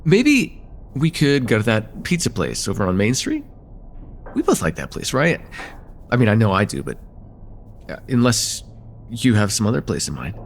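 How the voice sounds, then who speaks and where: A man's voice: lowish voice, wildly swooping pitch, moderate pace, vocal fry, pauses often, slight background noise, exaggerated voice. A young man playing a friendly, slightly nervous college student in a casual, stammering slice-of-life ASMR roleplay chat. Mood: disappointed, wistful. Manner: hesitant, stammering, self-deprecating, casual.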